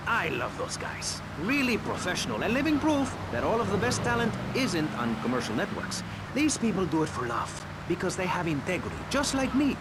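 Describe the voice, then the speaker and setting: High-pitched, pompous radio host. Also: male voice, sing-song, brisk. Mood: self-important. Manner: pompous, self-important, theatrical. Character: smug, excitable male public radio talk show host. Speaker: talk show host. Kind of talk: satirical radio talk show hosting. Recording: loud traffic and engine noise behind. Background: vehicle and street noise from gameplay.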